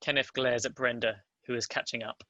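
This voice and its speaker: Bright, even-toned man reading stage directions. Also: medium pitch, fairly quick, lo-fi sound, slight background noise. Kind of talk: scripted narration at a table read.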